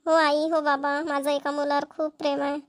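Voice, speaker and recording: Lady doing a young village girl for a marathi cartoon, really high voice, earnest n stubborn, rural maharashtra accent. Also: little pitch movement, normal speed, close to an everyday voice. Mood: earnest pleading. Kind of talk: amateur cartoon comedy-drama dubbing.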